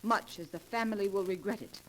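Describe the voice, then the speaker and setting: An actress playing a stern, controlling sister in a 1940s radio drama, cold and commanding. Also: female voice, mid-range voice, brisk, a little hiss or hum, lightly acted, low-quality recording.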